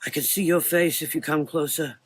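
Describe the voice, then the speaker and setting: A man voicing a frightened, frail elderly doctor with a weak, thin voice and halting speech in a gothic horror audio drama. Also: on the high side, breathy edge, no room sound, voice pushed up. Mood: awed, bitter. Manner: halting, repeating phrases, exclaiming.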